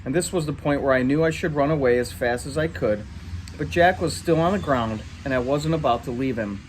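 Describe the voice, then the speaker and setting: A man reading a story aloud in an animated way. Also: mid-range voice, fairly quick, slight background noise, rough recording. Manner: animated, acts out dialogue in shouts. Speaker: reader. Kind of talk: read-aloud story narration.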